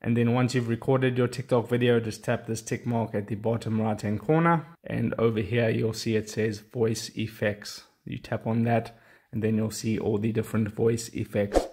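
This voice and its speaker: A man's voice: fairly low pitch, steady tone, moderate pace, darkish tone, husky. A man narrating an instructional how-to tutorial, explaining plainly step by step. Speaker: tutorial host.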